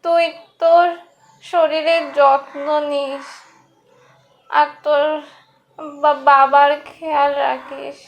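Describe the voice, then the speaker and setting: A frail village mother on her deathbed in a cartoon, acted by a woman. Her high voice moves very slowly with little melody. She sounds tender, resigned and halting. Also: level tone, dull audio, slight background noise. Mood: weak, resigned. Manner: halting, laboured delivery.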